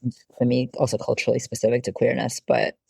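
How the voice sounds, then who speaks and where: A woman's voice: very deep voice, level tone, fast talker, vocal fry, darkish tone, husky, no echo. A woman of about forty hosting a podcast in casual conversation. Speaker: podcast host.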